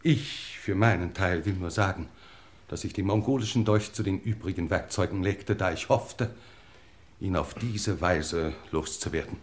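A male actor plays a genteel English lord with a lowish voice. He speaks formally and deliberately, with wry, world-weary grandeur, in the manner of 1950s radio drama. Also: natural voice. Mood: measured, wry. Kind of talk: 1950s German radio play acting. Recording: 1950s radio play recording.

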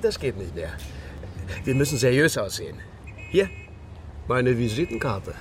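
A man acting in a radio drama as an eager, pushy East German insurance salesman with hurried, ingratiating patter. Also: medium pitch, sing-song, natural voice. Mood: sheepish, earnest. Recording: street noise with traffic behind. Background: outdoor city traffic.

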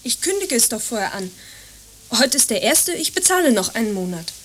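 A young woman playing a quietly evasive lodger in an understated radio drama, giving short, reserved answers. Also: fairly high pitch, very animated, fairly quick, slight background noise. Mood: reserved, resolute. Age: young adult.

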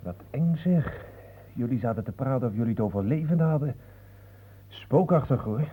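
An actor with a Netherlands Dutch accent playing a grumbling, flippant photographer in a radio play. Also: male voice, mid-range voice, expressive, moderate pace, slightly rough. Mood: flippant, uneasy. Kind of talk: naturalistic radio drama dialogue. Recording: noisy, phone-like old radio recording.

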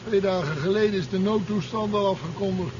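An actor playing a gruff, nosy, sceptical truck driver in a 1970s Dutch radio play, speaking in a wry, casual, probing way. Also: male voice, high voice, brisk, natural voice. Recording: dull, very noisy 1970s radio drama recording.

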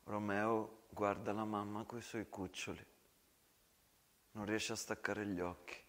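A man's voice: fairly low pitch, flat delivery, a little slow, fairly bright tone. A man narrating a war story as a theatrical monologue. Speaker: stage storyteller, actor. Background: acoustic guitar.

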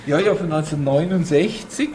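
A man's voice: fairly high pitch, a little fast, background noise. An older man casually demonstrating his old sound equipment, talking as a radio artist. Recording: loud music and motor behind.

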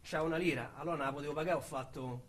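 A man's voice: on the high side, rapid-fire, close to an everyday voice. A young man with a Roman accent, acting a crude, boastful small-time ex-convict in a radio drama, talking in blunt, mocking street slang. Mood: boastful storytelling. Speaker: lead actor.

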